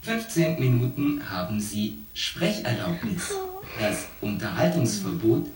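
A man's voice: mid-range voice, big pitch swings, measured pace. A man playing a pedantic artificial intelligence co-pilot in a science fiction radio play, with stilted, formulaic, literal phrasing. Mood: flat, pedantic. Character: pedantic shipboard computer, male-named artificial intelligence co-pilot. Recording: echoey, distant, music behind.

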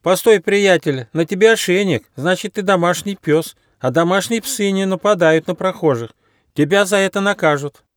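A man's voice: moderate pace, dry recording, studio quality, natural voice. A man voicing a small, timid young platypus who whines and exclaims naively. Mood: nervous, pleading. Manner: whining, high-pitched, exclaiming.

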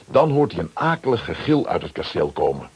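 A man narrating a children's radio play as a scripted storyteller, with a very husky voice. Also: sits low, very animated, a little fast, exaggerated voice.

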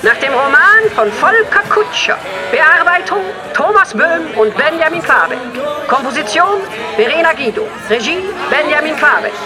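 A man reads the opening credits of a radio drama series in a measured, formal voice. His pitch sits very high. Music and crowd noise fill the background. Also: lively intonation. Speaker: announcer. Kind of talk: scripted series announcement.

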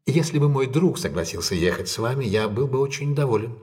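An actor playing a composed, decisive gentleman detective in an audio drama, speaking with measured, courteous authority. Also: mid-range voice, lively intonation, lightly acted.

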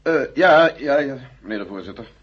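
A man's voice: medium pitch, expressive, normal speed, husky, no echo. Male general, dull old recording. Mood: sheepish. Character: senior male general, space agency chief executive. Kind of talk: 1970 radio drama acting.

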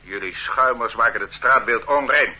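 An actor playing a stern, condescending police officer who lectures a detainee in an authoritarian way in a radio drama. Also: male voice, mid-range voice, normal speed, breathy edge, lightly acted. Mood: dismissive, menacing. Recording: muffled old radio play recording.

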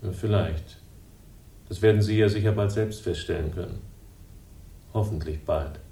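Actor as bitter male detective, low voice, laconic, sardonic, radio thriller, distant from mic, faint noise. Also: normal speed, warm tone, close to an everyday voice. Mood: bitter contempt. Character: dry, bitter male investigator, quietly hostile. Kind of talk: 1960s German radio crime drama acting and narration. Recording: roomy, distant, faintly rumbling radio recording.

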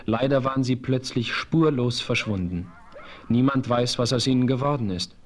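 A noble, solemn chief, played by a man, speaks with calm dignity in a radio play. His voice sits at an ordinary middle pitch and his phrasing is measured and formal. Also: a little hiss or hum, lightly acted. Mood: earnest.

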